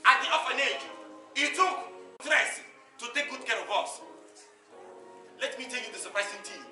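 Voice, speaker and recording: An actor playing an animated orphaned street hustler, telling his hard life in a theatrical stage monologue with a Nigerian accent, dramatic and emphatic, repeating phrases for effect. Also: very high-pitched, moderate pace, full character voice. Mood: dramatic recounting. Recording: echoey stage far from mic, music.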